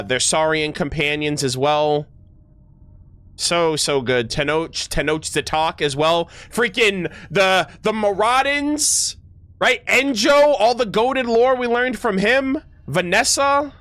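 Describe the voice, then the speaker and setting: A man streams a game with enthusiastic, slangy commentary. His voice sits a little high and he takes his time, moving between casual reactions and reading text aloud, stumbling here and there. The sound is dry, with very little room to it. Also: a bit bright, smooth, no echo. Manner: enthusiastic, slangy, often stumbles over words.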